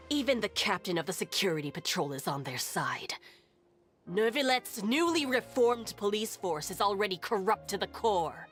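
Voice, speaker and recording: A scornful townswoman from a video game, in a mid-range voice. She sneers and accuses, full of distrust. Soft music sits behind her. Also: medium pitch, very animated, unhurried. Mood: bitter scorn.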